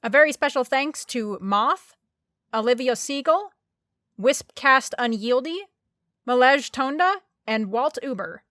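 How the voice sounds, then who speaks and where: Woman, higher voice, podcast announcer, scripted read, close dry sound. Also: expressive, a little slow, fairly bright tone, no echo. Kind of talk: scripted announcement thanking patrons.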